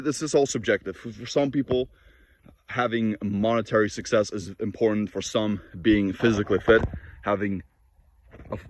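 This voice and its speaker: A man whose native languages are Norwegian and Polish, giving a blunt, preachy motivational monologue to camera with frequent restarts. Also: on the low side. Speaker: fitness and self-improvement vlogger. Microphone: camera mic.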